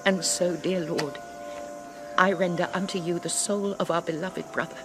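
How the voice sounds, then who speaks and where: A woman's voice: on the low side, fairly even intonation, moderate pace, music in the background, lightly acted. An actress playing a prim, proper missionary woman, speaking formally and politely, sometimes flustered. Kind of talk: radio drama acting. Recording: noisy recording with audible hiss.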